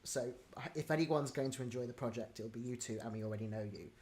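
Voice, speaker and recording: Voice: mid-range voice, fast. A host reading out audience questions and chatting casually with guests, often laughing. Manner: laughing, lively retelling. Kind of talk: casual chat.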